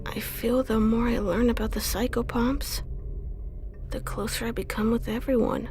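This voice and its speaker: A woman's voice: fairly high pitch, steady tone, normal speed, darkish tone, soft background music, natural voice. A woman playing a shy, earnest young undead woman in an audio drama, speaking hesitantly and easily flustered. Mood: timid, tender. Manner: hesitant, timid stammering. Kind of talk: audio drama character acting.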